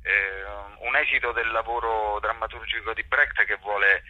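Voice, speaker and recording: Guy explaining stuff in an interview, deep raspy voice, lots of halting, kinda dull lo-fi sound. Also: steady tone, dry recording. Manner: hesitant, halting, thoughtful phrasing. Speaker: actor and workshop teacher being interviewed.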